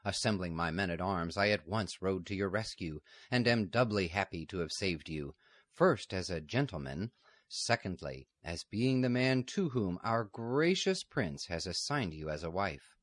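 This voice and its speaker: A man voicing a smooth, scheming nobleman in a courteous, self-satisfied way. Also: deep voice, lively intonation, normal speed, a bit bright, no room sound. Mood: smug.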